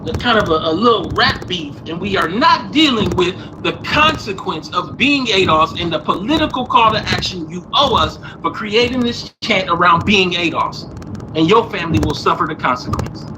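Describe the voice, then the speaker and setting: High-voiced male call-in host. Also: lively intonation, moderate pace, noisy background. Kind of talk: live call-in talk.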